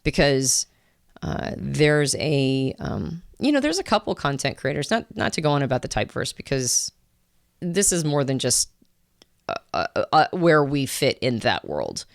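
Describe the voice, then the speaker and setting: A woman's voice: very deep voice, very animated, unhurried, gravelly, no room sound, studio quality. A woman co-hosting a podcast, talking in a reflective, searching way with many fillers and restarts. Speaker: podcast co-host. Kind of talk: casual conversation.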